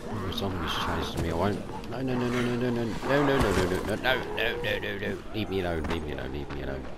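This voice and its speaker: Guy with a deep voice muttering casual gameplay commentary, repeats himself, loud game sounds behind. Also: expressive, fast, very noisy. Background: video game action sounds.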